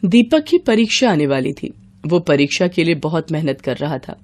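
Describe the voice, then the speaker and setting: A woman's voice: low-pitched, lively intonation, moderate pace, a little mellow. A woman narrating a scripted moral story for children.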